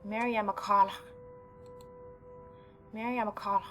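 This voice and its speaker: A woman gaming YouTuber reading a story game aloud and adding expressive, mocking asides. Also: medium pitch, takes it slowly, highs cut off, soft background music. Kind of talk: let's-play reading aloud with reaction commentary.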